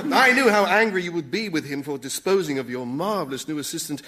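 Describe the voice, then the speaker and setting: An actor playing an obsequious, scheming demon servant, florid and melodramatic, in radio sitcom style. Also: male voice, on the high side, animated, normal speed, bright, forceful tone, slight background noise. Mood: grovelling, sly.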